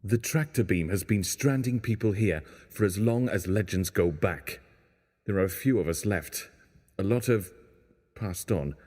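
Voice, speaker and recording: A man's voice: low voice, unhurried, a little mellow. A man playing a weary, pessimistic stranded engineer in an audio drama, talking in a grave way. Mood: resigned. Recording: long hollow reverb.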